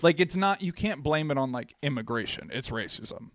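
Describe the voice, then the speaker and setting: A man's voice: fairly high pitch, lively intonation, normal speed, a bit bright, no echo, clean studio sound. A man co-hosting a casual podcast who talks in a loose, chatty way, full of "like", and explains bits of history. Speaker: podcast co-host.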